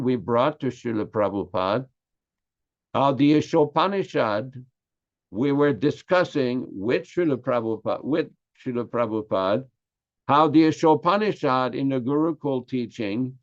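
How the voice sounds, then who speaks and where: An older man from Quebec with a Québécois French accent, French his first language, giving an earnest, emphatic class on scripture. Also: mid-range voice. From Quebec, Canada. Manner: earnest and emphatic, stressing key words slowly. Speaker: religious class speaker. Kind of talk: lecture on scripture. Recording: slightly dull lo-fi audio.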